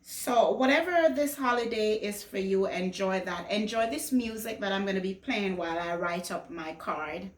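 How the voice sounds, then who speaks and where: An echoey indoor recording of a woman from Jamaica with a mid-pitched voice. She talks casually to her viewers as a lifestyle vlogger, wandering conversationally from one thought to the next. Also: expressive, moderate pace, hardly any pauses. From Jamaica until age fourteen, then the US. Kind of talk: casual vlog chat to viewers. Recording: echoey room.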